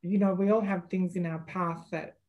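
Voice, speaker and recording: Australian woman, podcast guest, measured and reflective, slightly low pitch. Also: steady tone, muffled recording. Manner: thoughtful, hesitant, many fillers and restarts. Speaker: guest, employment lawyer. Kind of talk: podcast interview.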